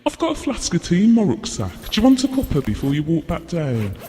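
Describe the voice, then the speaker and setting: Actor as cynical male people-watcher, apologetic yet blunt, warm-toned, animated intonation, naturalistic radio play. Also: on the high side, brisk, slight background noise. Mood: friendly, tentative. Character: observant male stranger who people-watches, gentle, frank, cynical. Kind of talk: naturalistic audio drama acting. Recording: long reverb on the voice.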